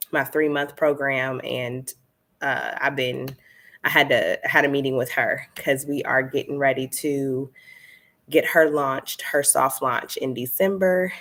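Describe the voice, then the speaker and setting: Woman, 30s, low-pitched, chatty coach, slightly congested, easygoing live-stream chat. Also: fairly bright tone. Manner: chatty, many fillers, laughs often. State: slightly stuffy nose. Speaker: candle business coach hosting a live stream.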